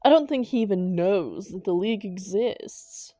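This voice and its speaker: A woman playing a sardonic, hot-tempered supervillain with a soft spot for her partner in a comic roleplay audio drama. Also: medium pitch, expressive, moderate pace, no room sound, close to an everyday voice. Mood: exasperated. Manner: sarcastic, dramatic, affectionate with partner.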